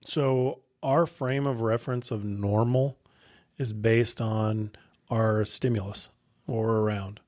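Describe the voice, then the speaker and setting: A man in his thirties co-hosting a podcast, speaking in a measured, reflective way. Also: fairly low pitch, fairly even intonation, darkish tone, no room sound, clean studio sound. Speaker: podcast co-host. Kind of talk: casual podcast chat.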